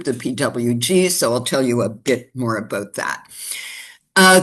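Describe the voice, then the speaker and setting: An older woman with a Canadian accent, giving a conversational webinar presentation with frequent hesitations. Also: low voice. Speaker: guest speaker.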